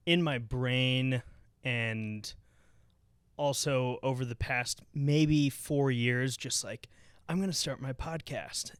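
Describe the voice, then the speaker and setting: A young man hosts a casual podcast from home, chatting loosely and circling back over his words. His voice is smooth and clear. The sound is dry and close. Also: medium pitch, no room sound. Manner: chatty, rambling with many fillers. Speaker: podcast host. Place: home living room.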